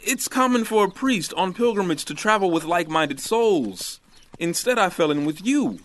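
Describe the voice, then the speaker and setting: A man's voice: sits high, animated, moderate pace. A man playing an earnest, exasperated priest in a fantasy audio drama, with a dry, disapproving, solemn delivery. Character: earnest, exasperated young male priest on pilgrimage.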